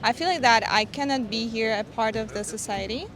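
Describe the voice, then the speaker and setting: A woman's voice: on the high side, brisk, noisy background. A young woman from Ukraine answering a street interview in a chatty way, with many "like" fillers.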